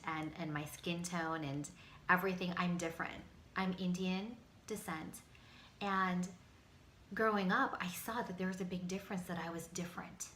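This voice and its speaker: A middle-aged American woman giving a motivational personal talk, speaking earnestly and emphatically with dramatic pauses. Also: mid-range voice, a bit bright. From United States, Pennsylvania. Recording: echoey room with faint background noise.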